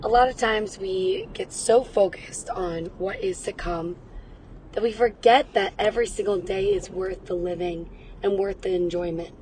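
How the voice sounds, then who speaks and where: A woman hosting a wellness podcast, her voice a bit raspy from cheering, talking in an upbeat, encouraging way and guiding breathing exercises. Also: medium pitch, steady tone, normal speed, darkish tone, poor audio. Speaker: podcast host. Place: in a car. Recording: car noise in background.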